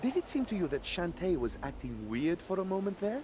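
A brisk young video game adventurer with a fairly high, very animated voice. He talks in a chatty, wry, informal way. Clear background noise runs behind him. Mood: puzzled.

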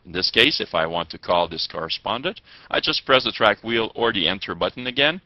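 Male trainer, low register, methodical tutorial narration, close dry sound. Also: animated, a little fast, no room sound. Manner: methodical, step-by-step explaining. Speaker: software trainer. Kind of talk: instructional screencast narration. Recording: dull lo-fi audio, dry.

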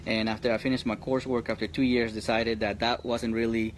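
A middle-aged man from Colombia, a high school teacher, chatting earnestly outdoors with frequent restarts. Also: level tone, fairly quick, slight background noise, poor audio. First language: Spanish. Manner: earnest, talks in restarts and repeats. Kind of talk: casual interview chat. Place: outdoors under a tree.

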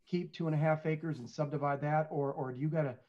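A man's voice: fairly high pitch, steady tone, fast. A man in his fifties discussing business casually as a panelist on a show, over a video call. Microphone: headset on video call. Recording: dull, lo-fi video call audio.

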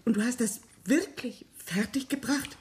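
A middle-aged actress playing a horrified, evasive, cornered wife in a radio play, speaking in a halting, emotional and dramatic way. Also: female voice, a little high, slight background noise, natural voice. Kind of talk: German radio play acting.

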